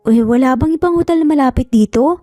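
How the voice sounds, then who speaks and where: A woman's voice: sits high, fast, warm tone, no room sound, studio quality, faint music behind, lightly acted. A woman voicing an easily flustered young mother, fretful and indignant, in a narrated story. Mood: worried.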